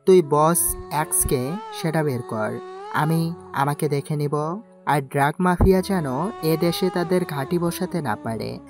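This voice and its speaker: A man's voice: fairly high pitch, a little slow. Man playing a curt, commanding gangster. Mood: grim, resolute. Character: brooding, commanding male gangster, vengeful and stubborn. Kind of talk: audio story acting. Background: faint music.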